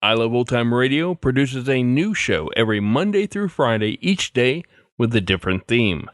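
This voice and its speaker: A man's voice: mid-range voice, lively intonation, normal speed, dry recording, clean studio sound. A man hosting a podcast, announcing from a script. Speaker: podcast host. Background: music.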